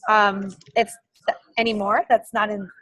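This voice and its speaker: Husky earnest woman author. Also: medium pitch, level tone, measured pace, fairly bright tone, dry recording. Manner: earnest, searching, restarts phrases. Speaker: author being interviewed. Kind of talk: candid conversational interview.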